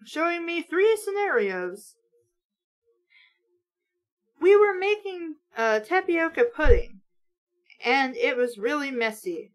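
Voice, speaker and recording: A woman's voice: high voice, a bit bright. A woman reading a story aloud and breaking in with sarcastic, exasperated, sweary commentary as host of a mock book show.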